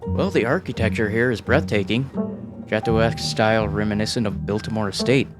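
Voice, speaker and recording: A man voicing a polite, earnest young man in a visual novel, speaking in a gentle, courteous way. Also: on the low side, normal speed, music in the background, lightly acted. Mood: friendly. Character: polite, earnest young man, visiting city cousin in a game. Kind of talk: fan-dubbed visual novel dialogue.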